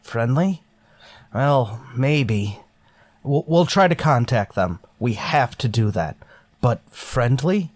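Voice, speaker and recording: A man's voice: medium pitch, expressive, takes it slowly, dry recording. An actor playing a stern, wary male starship captain in a sci-fi radio drama, with a clipped, commanding manner. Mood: grim, wary. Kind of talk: golden age sci-fi radio drama acting.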